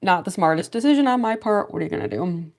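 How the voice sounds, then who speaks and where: A woman's voice: on the low side, expressive, fast talker, vocal fry, a little mellow. Sniffly young woman chatting on a livestream. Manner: rambling, many fillers, laughs often, reads chat aloud. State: a little stuffy and sniffly, recovering from a cold. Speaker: cosplay livestreamer. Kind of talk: casual livestream chat while doing makeup.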